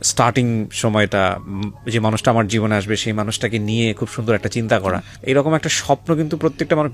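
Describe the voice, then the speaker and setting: A man's voice: on the low side, fast talker. A man with a Bangladeshi accent hosting a conversational radio interview, offering reflective commentary and mixing English phrases into his speech. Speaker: radio show host. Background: music.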